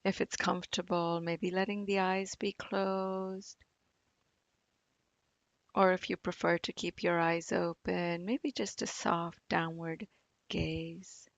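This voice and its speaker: A woman meditation teacher leading a guided practice in a gentle, soothing manner, leaving long pauses between phrases. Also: on the low side, little pitch movement, vocal fry, dry recording, dull audio. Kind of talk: guided body scan meditation.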